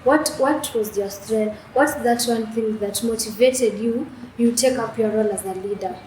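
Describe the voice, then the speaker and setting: Young female talk show host; bright higher voice, halting delivery, roomy echo, light hiss. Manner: stumbling, repeats words before questions. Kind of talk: interviewing. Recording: echoey room with faint noise.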